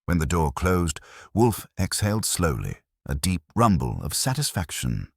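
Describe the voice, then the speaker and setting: A man's voice: deep voice, wildly swooping pitch, unhurried, gravelly, darkish tone, husky, dry recording, clean studio sound. A man narrating a detective story as an audiobook, in a dramatic scripted style.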